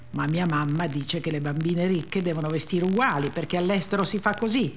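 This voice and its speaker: An actress reading a memoir as a blunt, resentful female narrator, with deadpan, childlike run-on phrasing. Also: deep voice, slight background noise, lightly acted. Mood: sullen, defiant. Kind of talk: dramatic reading of a first-person memoir.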